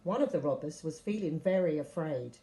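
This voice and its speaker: A woman reading a Bible story aloud as scripted narration, with expressive delivery of quoted speech. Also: low voice, fairly quick, low-quality recording. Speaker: story reader.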